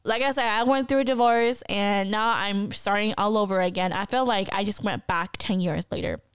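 A woman's voice: mid-range voice, fairly even intonation, moderate pace, clean tone, dry recording, studio quality. A woman in her thirties from the United States, hosting a podcast and talking candidly and chattily to listeners, often laughing. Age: 30s. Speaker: podcast host. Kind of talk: casual personal podcast monologue.

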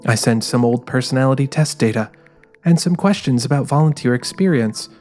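A man's voice: mid-range voice, a little fast, lightly acted. A man playing a guarded, driven female private eye, narrating introspectively in a scripted audio drama. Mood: guarded, reflective. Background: quiet music.

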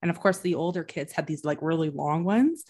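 Quiet woman host, lowish voice, easygoing chat. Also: a little fast, husky. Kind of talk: casual interview chat.

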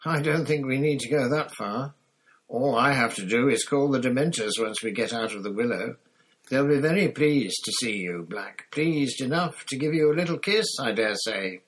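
A man's voice: lowish voice, a little slow, fairly bright tone. A man voicing a sneering, vengeful male professor who speaks in a silky, spitting, menacing way, in an audiobook. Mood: silky threat. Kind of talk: audiobook character voice.

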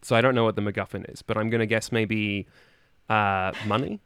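A man's voice: fairly low pitch, steady tone, fairly quick, fairly bright tone, smooth, no echo, clean studio sound. A man chatting casually and jokingly as a contestant on a podcast quiz. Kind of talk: casual comic chat.

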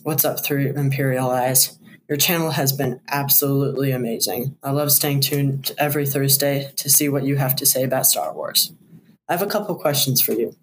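A man's voice: medium pitch, normal speed. A man leaving a listener voice message, setting out prepared questions in order. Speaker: listener calling in. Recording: voice message with faint background noise.

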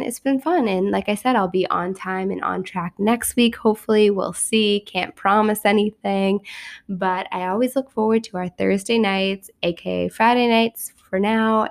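A woman host with a raspy, sick voice, chatting casually and upbeat on her podcast, with lots of fillers and restarts. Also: mid-range voice. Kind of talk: casual chat.

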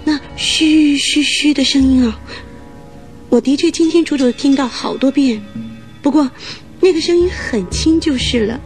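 Actress as a troubled, very high-voiced young woman. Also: moderate pace, close to an everyday voice. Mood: uneasy, insistent. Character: troubled young bride-to-be, the elder sister. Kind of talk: Mandarin radio drama acting. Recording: radio drama with music behind.

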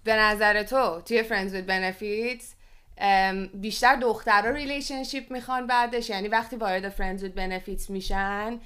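Female podcast co-host, Iranian Persian accent with English loanwords. Crisp, clean tone, conversational, explains and asks. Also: medium pitch, steady tone, fairly bright tone. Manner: explanatory, poses questions to co-host. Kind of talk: conversational podcast discussion, presenting survey figures.

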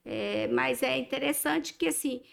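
Creaky high-voiced woman with mixed accent. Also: unhurried. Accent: mixed Brazilian Portuguese. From Minas Gerais, Brazil. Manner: hesitant, many fillers and restarts. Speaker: dermatologist guest. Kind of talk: casual talk-show chat.

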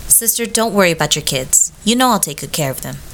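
A woman's voice: fairly low pitch, animated, quick pace, fairly bright tone, a little hiss or hum, poor audio. A woman playing a teasing, dependable sister in casual radio play dialogue. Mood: teasing, reassuring.

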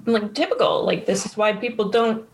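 A woman's voice: mid-range voice, steady tone, moderate pace, a little hiss or hum. A woman co-hosting a podcast, giving casual opinions on a TV show in conversation. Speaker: podcast co-host. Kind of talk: casual chat recapping a TV show.

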